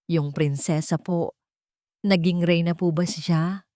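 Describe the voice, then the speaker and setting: A woman voicing a gentle, polite little girl with a soft, clear voice in a narrated story. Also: on the low side, fairly even intonation, slow, no room sound, studio quality. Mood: tender, affectionate. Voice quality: soft, faint but clear.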